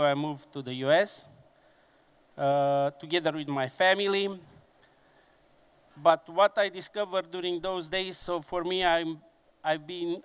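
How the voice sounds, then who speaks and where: Conference presenter: man in his 50s, Romanian accent, non-native English, slightly higher voice, unhurried delivery with many pauses. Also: fairly bright tone, clean tone. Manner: unhurried and halting, with frequent fillers and restarts. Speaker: conference speaker.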